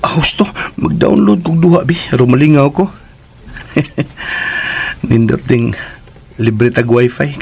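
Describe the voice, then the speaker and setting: A man's voice: medium pitch, slow pace, vocal fry, pauses often, breathy edge, heavily acted. An actor with a Filipino Cebuano accent playing a lovelorn, cheerful young man who chats expressively in a radio drama. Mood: playful, musing. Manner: expressive, chatty, talking to himself.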